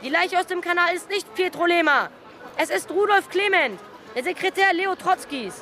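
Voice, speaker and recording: Shrill, piercing newspaper crier. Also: male voice, lively intonation, moderate pace, bright, forceful tone, faint music behind, some chatter behind, exaggerated voice. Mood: urgent, hawking. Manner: crying out, repetitive sales calls. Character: shrill young street newspaper crier hawking headlines. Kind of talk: radio drama street scene.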